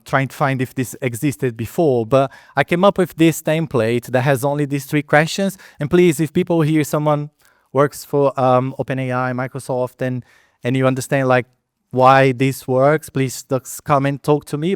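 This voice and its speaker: A man's voice: mid-range voice, steady tone, moderate pace, clear, resonant tone, dry recording, clean studio sound. A middle-aged man from Brazil with a Brazilian Portuguese accent, giving a conversational conference talk as a product lead, with many fillers, restarts and non-native phrasing. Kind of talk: conference presentation. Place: event hall at a meetup.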